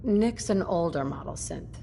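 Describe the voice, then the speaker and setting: Earnest, lowish-voiced determined woman. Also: animated, unhurried, gravelly, warm tone, a little hiss or hum. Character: earnest, determined mother seeking help. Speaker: player protagonist, searching parent. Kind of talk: video game voice acting.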